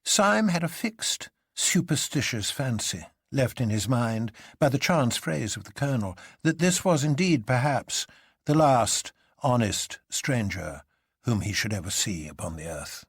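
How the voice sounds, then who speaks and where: A man's voice: medium pitch, animated, a little slow, husky, dry recording, studio quality. A man narrating a literary story in a dramatic audiobook style.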